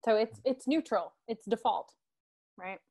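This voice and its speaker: A woman's voice: a little high, measured pace, mellow. A young woman from Tennessee giving a guest lecture over a video call on an earbud headset, often filling with ums and adding "right?" to her points. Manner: frequent ums and tag questions like "right?".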